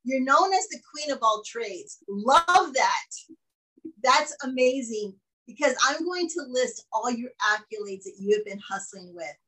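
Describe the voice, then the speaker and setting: Woman podcast host, bubbly, gushing, voice sits a touch high. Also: lively intonation, measured pace, sharp tone, husky, rough recording. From Mexican heritage. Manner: upbeat and gushing, with frequent laughs. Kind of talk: casual interview chat.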